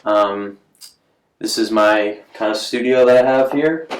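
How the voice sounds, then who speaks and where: A man's voice: fairly low pitch, measured pace, slight background noise. A 27-year-old male musician talking casually in his home studio for a documentary interview. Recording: distant mic in echoey room.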